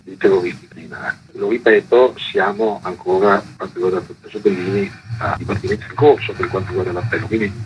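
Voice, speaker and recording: A man with a fairly low voice speaks as a journalist being interviewed. He explains things in an articulate, measured way and qualifies his points with care. The sound is slightly dull, with faint noise on the line. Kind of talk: explanatory radio interview answers. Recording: muffled, noisy radio line.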